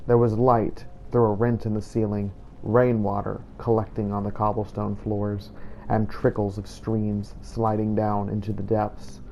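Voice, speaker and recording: A man narrating a fantasy game adventure as a scripted story, in a measured, solemn manner. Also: lowish voice, completely flat delivery, vocal fry, warm tone, a little hiss or hum, low-quality recording. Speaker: narrator of a game roleplay playthrough.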